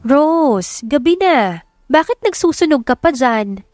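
A woman's voice: high-pitched, lively intonation, slow pace, a little mellow, no room sound, studio quality, voice pushed up. A woman voicing a middle-aged mother, protective and concerned, speaking urgently and consolingly in an audiobook romance. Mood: worried.

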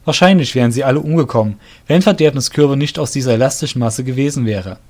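A man narrating a scripted fantasy audio drama in a measured storybook manner. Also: medium pitch, darkish tone.

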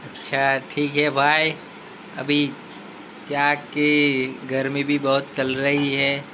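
A man's voice: mid-range voice, monotone, slow, pushed and bright. Fields: Sex: man. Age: 30s. Style: playful family vlog. Mic: phone at arm's length. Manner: playful, teasing, chatty. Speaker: vlogger and father. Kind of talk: casual family vlog chat. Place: small home room indoors. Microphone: phone held at arm's length. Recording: phone recording with clear background noise.

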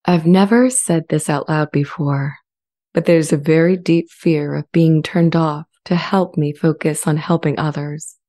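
A woman playing an earnest, gentle artificial intelligence in a dramatized transcript, speaking in a measured, deliberate way. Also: deep voice, fairly even intonation. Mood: earnest, calm. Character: earnest, gentle female-voiced sentient AI language model. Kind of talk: scripted dramatized transcript reading. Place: indoor home studio. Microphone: large studio condenser with pop filter, close.

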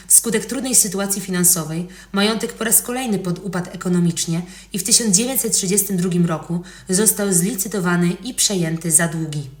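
A woman narrates history from a script. Her voice sits a little low, and it rings with clear room echo. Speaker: podcast narrator. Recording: roomy, echoing voice recording.

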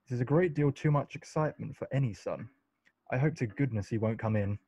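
An actor playing an idle, witty young English gentleman in a radio play, speaking in a flippant, polite way. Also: mid-range voice, fairly quick, husky. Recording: dull, lo-fi home recording.

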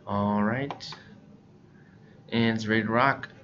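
A man narrates a casual how-to tutorial in a fairly low voice. His tone has a bright, forceful edge. The recording is somewhat dull and lo-fi. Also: fairly even intonation, slow. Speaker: software tutorial presenter. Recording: dull lo-fi mic, background noise.

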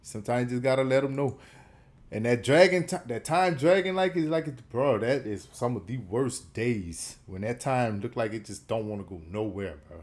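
A man's voice: medium pitch, expressive, moderate pace, a little mellow. A man in his thirties hosting a reaction video at home, chatting in a casual, slangy way and chuckling often. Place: small room at home, indoors. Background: faint song playing.

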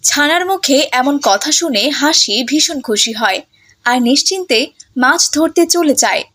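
A woman with a high-pitched voice reads as the narrator of a fairy tale. Her delivery is scripted storytelling for an animated children's story. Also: moderate pace.

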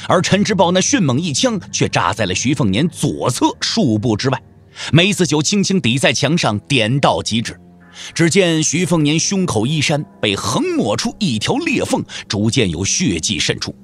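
Male storyteller, wuxia audio drama: theatrical, suspenseful, wide swoops of intonation, music bed. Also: on the high side. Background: background music.

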